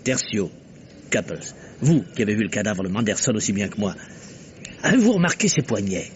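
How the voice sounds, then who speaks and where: An actor playing a keen, talkative journalist turned amateur detective in an old French radio mystery, theatrical and reasoning step by step. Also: male voice, fairly low pitch, very animated, fairly quick. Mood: brisk, reasoning. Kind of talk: mid-century French radio mystery acting. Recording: noisy, muffled old radio drama recording.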